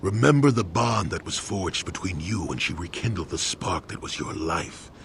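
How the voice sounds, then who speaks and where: An actor playing a grave, wise Jedi mentor in a video game, talking in a solemn, measured way. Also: male voice, deep voice, animated, vocal fry, fairly bright tone, slight background noise, heavily acted. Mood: grave, sombre. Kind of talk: dramatic video game acting.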